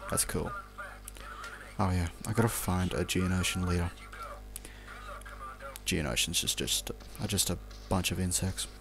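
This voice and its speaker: A young man with an Australian accent talks through a video game as he plays. His voice sits very low and has a lot of fry in it. He rambles in an offhand, muttered way, casual and unscripted, with the odd swear word. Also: a little slow, pauses often. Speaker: let's play commentator.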